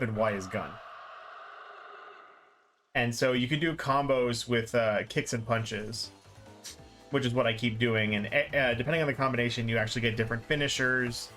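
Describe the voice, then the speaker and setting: A man's voice: mid-range voice, normal speed, a bit bright, soft background music. A man giving casual, rambling live commentary as he plays a game, informal and self-deprecating. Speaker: streamer playing and explaining a game. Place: live stream with game music behind.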